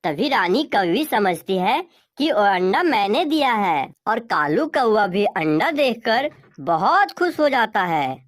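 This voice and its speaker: A woman's voice: mid-range voice, very animated, a little slow, no echo, studio quality. A woman narrating a scripted children's story as its storyteller.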